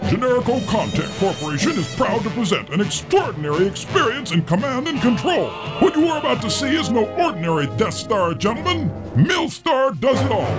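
A slick, grandiose announcer in a radio satire's corporate promo. He pitches high and lively with pompous hard-sell delivery, music playing behind him. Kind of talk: parody promo narration.

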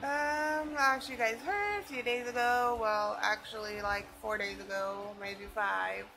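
A young woman talks to her phone camera in a chatty, rambling way, her voice sitting a little high. The recording is noisy, with a clear hiss under her voice. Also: unhurried. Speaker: vlogger. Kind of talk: casual family vlog. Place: home bedroom and parked car at night. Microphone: phone camera at arm's length.

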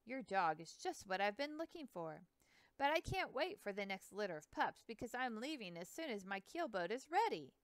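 An eager young explorer, polite and earnest, his words tumbling out in a rush, as a woman reads him aloud. The voice is smooth and clear, with a lively, rising and falling pitch, set close and dry like a storybook reading. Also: normal speed, dry recording, lightly acted. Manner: earnest, courteous, speaks in a rush.

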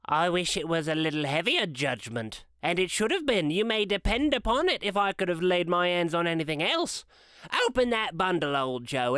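Guy doing a brash shrill charwoman, callous n defiant, storybook voice, goes way up high. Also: pushed and bright, smooth, no echo, clean studio sound. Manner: shrill, defiant, working-class bluntness. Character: brash, callous old charwoman selling stolen bedclothes.

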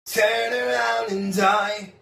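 A murderous cartoon villain in a high, heavily creaking voice. He is cold and threatening, echoing over loud music. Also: fairly even intonation, slow, exaggerated voice. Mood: cold threat.

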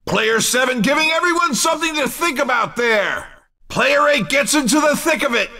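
A man playing a cheeky, excitable race commentator in a video game, calling the action in punchy, playful exclamations. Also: very high-pitched, normal speed, recorded from a distance, full character voice. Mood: excited, hyped. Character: hyped-up cheeky male racing game announcer. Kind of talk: excited arcade racing commentary.

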